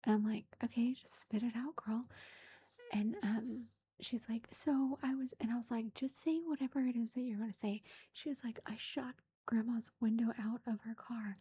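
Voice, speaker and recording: A woman's voice: fairly high pitch, dark tone, slight background noise. Whispering woman telling a home story. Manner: whispering throughout, chatty with fillers. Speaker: vlogger. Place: indoors at home. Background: lawnmower faintly outside.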